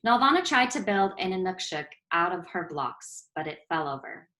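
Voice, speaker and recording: A woman reading a children's picture book aloud as a storyteller, expressive and clearly phrased. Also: fairly low pitch, normal speed, rough recording.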